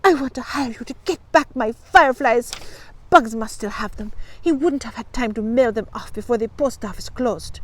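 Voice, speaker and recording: A woman voicing an indignant, nervous little girl in a storybook reading, talking in an excitable, plain-spoken way. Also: on the high side, normal speed, close to an everyday voice. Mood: upset.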